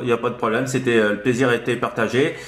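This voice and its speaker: Echoey room, man, mid-pitched voice, friendly, hesitant, repeated words, casual radio operator chat. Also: little pitch movement, fast, fairly bright tone.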